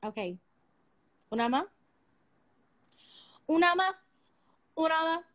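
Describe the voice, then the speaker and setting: A woman's voice: very high voice, lively intonation, takes it slowly, a bit bright. A woman in her twenties hosting a casual livestream from her bedroom at night, speaking into a phone held close, bubbly and affectionate with frequent giggles. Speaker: livestream host.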